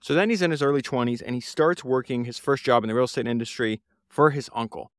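A man's voice: mid-range voice, quick pace, clear, resonant tone, no room sound, clean studio sound. A man, hosting a solo podcast and talking through a book in an earnest, rambling way with many fillers and restarts. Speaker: podcast host.

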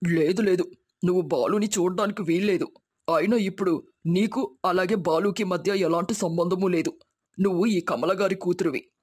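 An actor playing a stern, henpecked uncle who speaks in a firm, forbidding manner in a story. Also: male voice, high-pitched, moderate pace, a little mellow, husky.

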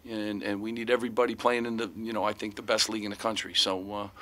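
A middle-aged man fields questions at a postgame press conference, his voice bright and forward. He talks into a table mic, circling his points with frequent fillers and false starts. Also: on the low side, little pitch movement, fast talker. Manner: rambling, frequent "you know" fillers and restarts. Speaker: head basketball coach. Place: press room in front of sponsor backdrop. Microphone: table mic in front of him.

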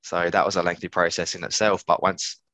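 A man in his thirties, a business owner giving casual, halting interview answers, thinking aloud. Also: low-pitched, little pitch movement, bright, forceful tone, no room sound. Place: small office on a video call. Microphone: headset. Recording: slightly dull video-call audio.

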